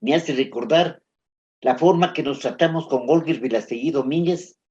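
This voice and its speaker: A man answers interview questions, reminiscing with sincere warmth. His voice sits a bit high for a man. The recording sounds dull and muffled. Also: normal speed. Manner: earnest, warm reminiscing.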